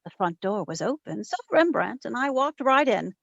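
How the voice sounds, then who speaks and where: A woman's voice: fairly high pitch, big pitch swings, moderate pace, vocal fry, no room sound, highs cut off, full character voice. A woman playing a wisecracking, cool-headed female private investigator in an old-time radio detective drama. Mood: wry, dramatic. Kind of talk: 1940s radio detective acting and narration.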